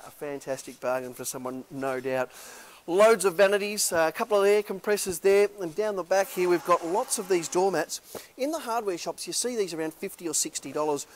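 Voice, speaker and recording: Man, middle-aged auctioneer, slightly higher voice, casual sales patter, warehouse walkthrough. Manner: chatty salesman patter, many fillers. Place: large warehouse indoors.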